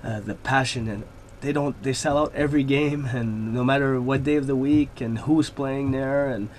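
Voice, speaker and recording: Man, easygoing radio guest, mid pitch, faint hiss behind. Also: moderate pace. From Laval, Quebec, Canada. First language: French. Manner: relaxed, rambling with many fillers. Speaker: hockey player guest. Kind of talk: radio interview.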